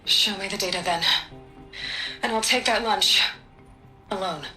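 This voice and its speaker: A blunt, stern scientist in a video game drama, curt and confrontational. She has a mid-pitched, very forceful, cutting voice, with faint music underneath. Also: measured pace, low-quality recording. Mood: grim.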